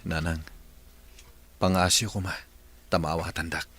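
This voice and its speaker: A man's voice: low-pitched, level tone, a little slow, a bit bright, slight background noise. A man playing an earnest adult son in a dramatized family story, with an Ilocano accent. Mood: pleading. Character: earnest adult son reconciling with long-lost parents. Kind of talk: dramatized Ilocano radio-style story acting.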